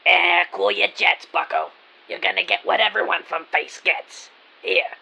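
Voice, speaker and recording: Man voicing a very gravelly, brash shopkeeper woman. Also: high-pitched, measured pace, bright, forceful tone, full character voice. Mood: sardonic, brusque. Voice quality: gravelly, raspy. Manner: brusque, slangy, sardonic. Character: short plump gruff middle-aged woman shopkeeper, brash, unimpressed. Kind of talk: audio drama character acting.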